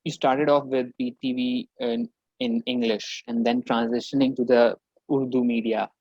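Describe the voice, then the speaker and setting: A man's voice: mid-range voice, normal speed, gravelly, a little mellow, no room sound. Man interviewer, dry lo-fi sound. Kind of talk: interview questions.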